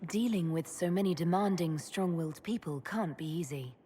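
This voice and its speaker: A calm elf mage leader. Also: female voice, lowish voice, moderate pace, husky. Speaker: player character leader.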